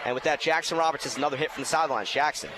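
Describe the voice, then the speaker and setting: A young man giving live basketball play-by-play, excitable and raising his voice on big plays. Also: a little high, racing. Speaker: play-by-play announcer and sports show host. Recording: noisy gym ambience, bouncing ball. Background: basketball game in an indoor gym.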